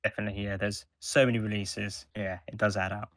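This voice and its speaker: A man's voice: on the low side, flat delivery, quick pace, no echo. A man co-hosting a podcast in casual conversation, agreeable and a little hesitant. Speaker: podcast co-host.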